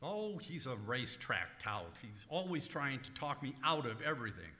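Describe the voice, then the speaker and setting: A man plays an exasperated, penny-pinching radio comedian. The voice is forceful and piercing, swings animatedly, and hesitates before drawn-out indignation, all in a long, echoey hall. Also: medium pitch, big pitch swings. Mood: chatty. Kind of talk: old-time radio comedy acting, live stage revue. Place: live performance in a large museum room. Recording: echoey museum hall, live stage.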